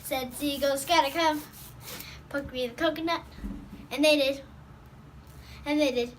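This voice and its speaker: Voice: sits high, steady tone, a little slow, heavy background noise. A performer voicing a goofy, chatty cartoon creature in a zany, singsong manner given to wordless whooping. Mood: storytelling.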